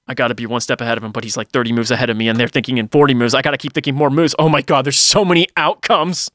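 A man's voice: medium pitch, fairly even intonation, very fast, a bit bright, no room sound, clean studio sound. A man chatting casually as a podcast co-host, enthusiastic and emphatic, swearing freely. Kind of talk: casual chat.

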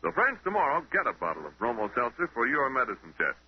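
A man's voice: mid-range voice, wildly swooping pitch, quick pace, husky, lo-fi sound. A man working as a radio announcer in the 1930s, reading a script on an old broadcast recording.

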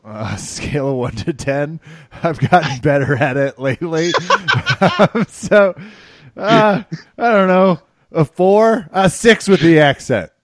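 A man's voice: fairly high pitch, slow pace, dry recording. A man co-hosting a podcast, trading sarcastic jokes and trash talk in casual banter. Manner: sarcastic, jokey, laughs often. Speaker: podcast co-host. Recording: dry, close sound.

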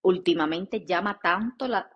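A woman's voice: lowish voice, unhurried, husky, lo-fi sound. A woman with a Cuban accent co-hosting a casual chat, talking emphatically and addressing listeners directly. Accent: Cuban Spanish. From Cuba. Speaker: podcast co-host.

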